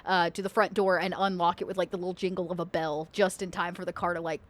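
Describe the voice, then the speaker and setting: A woman's voice: fairly low pitch, little pitch movement, fast talker. A woman player describing her actions in a tabletop game in an informal, meandering way. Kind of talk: casual scene narration.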